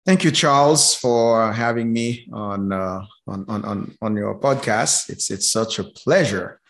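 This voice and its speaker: Slow, halting man. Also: on the low side, a bit bright. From Haiti. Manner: slow, halting, many repeated words and fillers. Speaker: guest, business professor. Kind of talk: casual interview talk.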